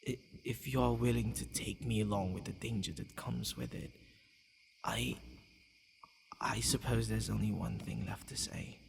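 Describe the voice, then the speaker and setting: A man playing a centuries-old vampire lover, tender, possessive and flustered, halting and sighing in an intimate ASMR romance roleplay with night insects faintly behind him. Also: on the low side, slight background noise. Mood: hesitant, awed. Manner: halting, stammering, breathy sighs.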